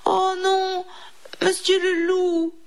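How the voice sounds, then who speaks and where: A tiny female mouse from a children's storybook, voiced by a woman, very high in pitch. She is timid and pleading, anxious to please whoever is frightening her. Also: slow. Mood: pleading, eager. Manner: pleading, stammering, eager to please.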